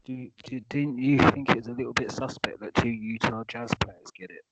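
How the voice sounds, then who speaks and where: A man in a casual live-stream chat, cutting in with blunt, quick remarks. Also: mid-range voice, fairly even intonation, creaky, heavy background noise.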